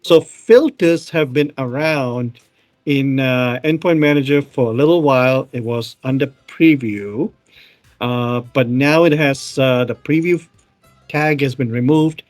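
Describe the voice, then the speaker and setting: A man's voice: medium pitch, unhurried, a little mellow, dry recording, clean studio sound, soft background music. A man from Malaysia co-hosting a casual tech show, talking loosely with many fillers while demoing his screen over a video call. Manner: chatty, many fillers, laughs easily.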